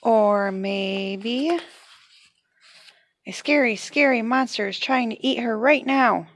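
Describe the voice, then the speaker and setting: A woman's voice: on the high side, takes it slowly, dry recording, pitched above the performer's own voice. A woman voicing an anxious, earnest male elephant in a children's storybook, fretting dramatically. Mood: worried.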